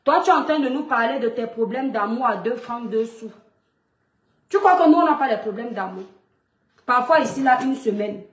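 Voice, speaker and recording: High-voiced scolding Cameroonian woman. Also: animated, moderate pace, reverberant. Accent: Cameroonian French. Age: 30s. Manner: scolding, lecturing, rhetorical questions. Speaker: mother addressing a public figure online. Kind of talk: monologue video rant.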